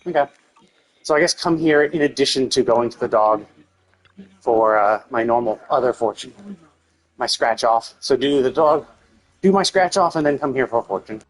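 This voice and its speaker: A man's voice: mid-range voice, expressive, normal speed, long pauses, poor audio. A man streaming a game live with casual commentary, thinking aloud tentatively and correcting himself as he goes.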